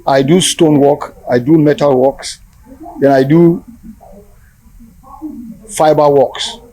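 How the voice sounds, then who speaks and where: A man's voice: fairly high pitch, steady tone, takes it slowly, warm tone, rough recording. A man with a Nigerian accent, an artist explaining his work informally in an interview.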